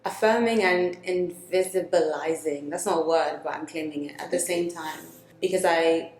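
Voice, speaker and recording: A young woman from Nigeria co-hosting a reflective personal conversation, speaking slowly and thoughtfully with pauses. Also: low voice, darkish tone, a little hiss or hum. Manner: slow, thoughtful, with pauses and fillers. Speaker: co-host. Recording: echoey room.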